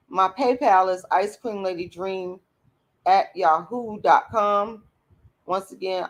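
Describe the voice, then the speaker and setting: A hoarse woman, hosting a late-night livestream and talking bluntly and emphatically to her viewers. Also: medium pitch. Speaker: channel host.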